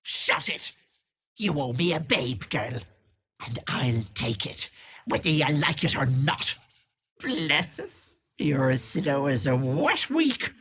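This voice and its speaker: A wicked old hag from a video game, low and husky. She plays the sweet, folksy auntie with cloying endearments, then swoops into gloating, theatrical menace at an unhurried pace. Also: full character voice. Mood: menacing. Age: older. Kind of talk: video game character acting.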